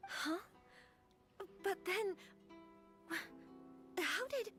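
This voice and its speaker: Soft-spoken, anxious young noblewoman. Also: very high voice, expressive, drawn-out words, faint music behind, exaggerated voice. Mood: worried. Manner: formal, hesitant, apologetic. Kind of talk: video game voice acting.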